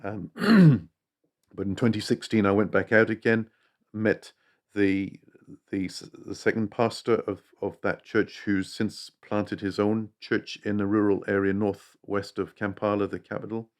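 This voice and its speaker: A man in his fifties, raised in East Africa and the UK, presenting a charity project in a hesitant way, with frequent restarts, repeated words and throat clearing. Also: lowish voice, measured pace. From Uganda, raised in East Africa then UK. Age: 50s. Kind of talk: unscripted appeal talk.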